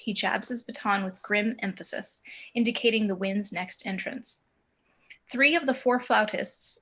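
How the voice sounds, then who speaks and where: A woman reading screenplay action descriptions as narrator in a table read over a video call, in deliberate short phrases. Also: fairly high pitch, fairly bright tone. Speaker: stage-direction narrator.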